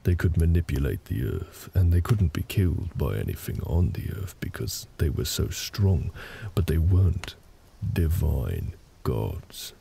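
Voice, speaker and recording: Big tender orc husband, male actor for intimate comfort audio: extremely creaky low growl, unhurried, reassuring storytelling. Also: sing-song, dark tone, slight background noise, full character voice. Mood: calm storytelling. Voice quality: very deep, gravelly. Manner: slow, hesitant, reassuring, conversational.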